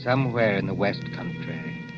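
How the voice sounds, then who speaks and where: A man giving slow, atmospheric narration for a 1940s radio drama. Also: mid-range voice, wildly swooping pitch. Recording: lo-fi 1940s radio with loud music.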